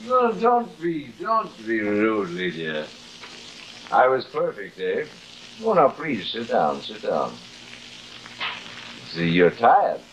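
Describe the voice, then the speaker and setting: A man's voice: mid-range voice, wildly swooping pitch, slow, pauses often, a bit bright, exaggerated voice, low-quality recording. A middle-aged actor playing a vain, cruel, drunken stage-actor father in a grandiose, slurred, taunting way, in theatrical 1950s television style. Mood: needling. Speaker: actor in a television horror drama. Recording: hissy old 1950s television soundtrack.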